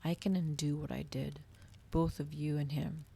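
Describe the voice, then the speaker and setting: A woman's voice: low-pitched, flat delivery, moderate pace, vocal fry, mellow, breathy edge, heavily acted. A woman voicing a domineering, cruel male vampire in a storybook reading, taunting and theatrical. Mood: threatening.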